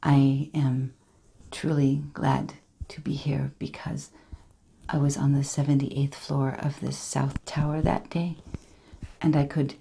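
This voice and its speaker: A woman's voice: extremely low pitch, level tone, unhurried, vocal fry, dark tone, a little hiss or hum, heavily acted, low-quality recording. A woman performing a dramatic monologue as an earnest, emotional survivor, confiding and hesitant in direct address. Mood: earnest, tentative.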